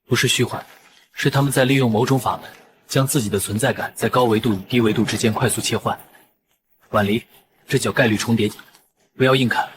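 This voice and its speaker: An actor playing a young prince and cool strategist, speaking in a dry, analytical way for an animated drama. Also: male voice, mid-range voice, fairly quick, long pauses, noisy background, natural voice. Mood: analytical, urgent. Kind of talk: dramatic animated-series acting.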